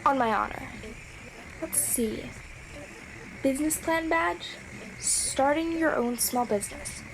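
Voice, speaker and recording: A young, rule-abiding girl scout in an audio drama. Her voice is on the high side, chirpy and dutiful as she talks to herself. The radio recording has clear background noise. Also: slow pace. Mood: eager, dutiful. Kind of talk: scripted audio drama monologue. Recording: noisy radio transmission.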